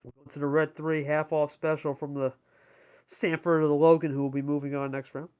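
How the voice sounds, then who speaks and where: Higher-pitched man calling a game live. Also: little pitch movement, fairly quick, darkish tone, clear, resonant tone, highs cut off. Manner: colloquial, addresses players as buddy. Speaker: play-by-play commentator and host. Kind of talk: live sports commentary on a card game.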